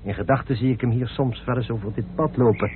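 A man's voice: mid-range voice, steady tone, quick pace, natural voice. An actor playing an eccentric, hospitable male house owner in a radio play, chatty, courteous and effusive. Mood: eager, hospitable. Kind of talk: Dutch radio drama acting. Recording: tinny, noisy old radio recording.

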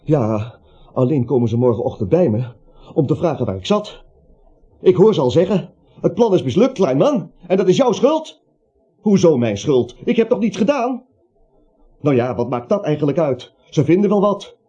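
A man's voice: fairly high pitch, very animated, moderate pace, dark tone, husky. An actor playing a nervous, naive little everyman who rambles, hesitates and justifies himself in a comic radio play. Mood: apologetic, grumbling. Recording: muffled old radio play, music behind.

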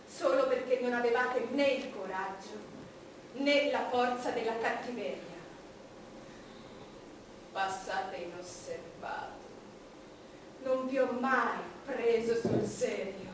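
An actress playing an imperious, scornful, vulgar-tongued Queen of England in a theatrical stage monologue, with a commanding delivery. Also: sits high. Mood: cold contempt. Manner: theatrical, commanding, swinging from hushed menace to loud outbursts. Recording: echoey, distant, noisy stage recording.

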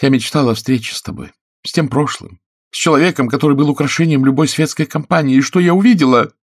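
Man voicing a haughty, venomous actress, pitched up. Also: lively intonation, a little fast, no echo, clean studio sound. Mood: theatrically tragic. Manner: spiteful, ironic, melodramatic. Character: haughty, shrill actress woman, venomous and theatrical. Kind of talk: audiobook character dialogue.

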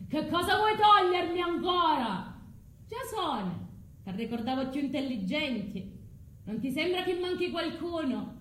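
Scornful vengeful wife in a tragic play, cold n taunting, slow delivery, roomy echo. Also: female voice, high voice, very animated, fairly bright tone, heavily acted. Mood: cold menace. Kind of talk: theatrical tragic monologue. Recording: roomy stage, faint background noise.

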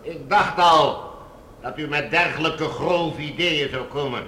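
A smug villain ruling a Mars colony, in a high, sharp voice. He is mockingly polite and commanding, in radio drama style. The room rings with echo. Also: unhurried. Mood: smug, menacing.

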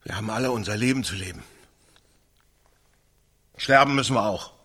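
A man acting a restless bereaved interviewee in a radio drama, with a husky voice. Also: on the low side, moderate pace, sharp tone. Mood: flippant, laughing. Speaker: interviewee in a staged reality radio show.

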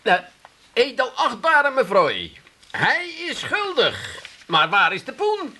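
A pompous, squabbling citizen in a radio comedy. His voice is extremely high for a man, bright and sharp, with lively swoops. He speaks in mock-formal, theatrical indignation. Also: slow, full character voice. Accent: Dutch, Amsterdam area. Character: pompous, indignant self-styled honest citizen, theatrical schemer. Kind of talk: 1980s comic radio drama acting.

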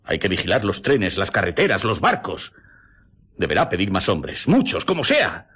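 An actor playing an anxious, agitated doctor in a Spanish radio drama, urgent, theatrical and sometimes stammering. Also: male voice, low-pitched, huge pitch swings, moderate pace, fairly bright tone, slightly rough, full character voice.

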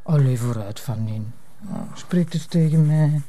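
An actress playing an exasperated but affectionate wife who nags and coaxes in a Flemish accent, in a drama. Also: female voice, low voice, a little slow, warm tone. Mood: teasing, nagging. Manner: nagging, coaxing. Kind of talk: naturalistic Flemish radio or TV drama acting.

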